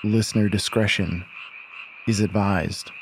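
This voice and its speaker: A man's voice: lowish voice, steady tone, measured pace, slight background noise, rough recording. A man narrating a scripted horror story in a folksy, ominous storyteller's manner. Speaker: narrator of horror audio drama.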